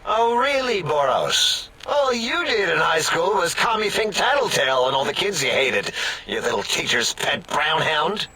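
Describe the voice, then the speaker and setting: An actor playing a touchy, fussy, whining robotic brain scientist in a sci-fi comedy game. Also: male voice, fairly high pitch, sing-song, moderate pace, pushed and bright, exaggerated voice, rough recording. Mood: hurt, whining. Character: whiny, touchy, nerdy robotic brain scientist, easily wounded.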